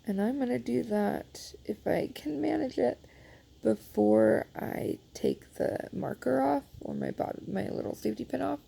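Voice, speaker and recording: A woman's voice: fairly high pitch, unhurried, gravelly, mellow, breathy edge. A woman demonstrating a knitting repair in a chatty, self-deprecating way, congested and sniffling, recorded on a phone. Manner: chatty and self-deprecating, many fillers, apologetic. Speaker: knitting instructor. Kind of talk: casual how-to demonstration. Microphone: phone held nearby.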